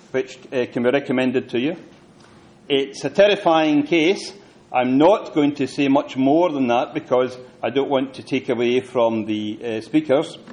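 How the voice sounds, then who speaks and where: A man's voice: mid-range voice, darkish tone. A man hosting a talk, introducing the guest speakers to the audience with a hesitant delivery. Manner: hesitant, many fillers and restarts. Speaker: event host introducing speakers.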